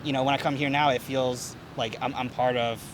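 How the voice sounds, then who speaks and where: Young man, born in the US, halting speech full of ums, traffic noise around him, mid-pitched voice. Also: flat delivery. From United States. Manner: hesitant, many fillers and restarts. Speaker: interviewee. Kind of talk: casual outdoor interview.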